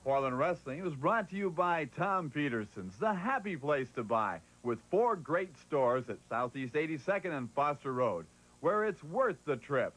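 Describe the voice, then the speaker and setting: A man's voice: fairly high pitch, very animated, normal speed, fairly bright tone, low-quality recording. Polished male sponsor announcer. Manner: polished, upbeat. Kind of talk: read sponsor message.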